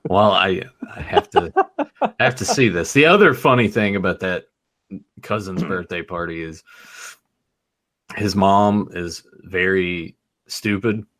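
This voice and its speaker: Low-voiced man telling rambling stories. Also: expressive, a little slow, pauses often, fairly bright tone. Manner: rambling storyteller, swears freely, laughs at himself. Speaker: podcast host. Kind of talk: casual chat.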